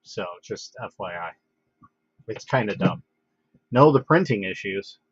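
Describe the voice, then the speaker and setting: A man with a lowish voice chats casually to his livestream viewers, stuffed up with a head cold. He wanders through his thoughts with plenty of ums and restarts. The sound is dry and somewhat dull, like a basic home streaming setup. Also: husky, no echo. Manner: relaxed, halting, many fillers and restarts. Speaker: livestream host.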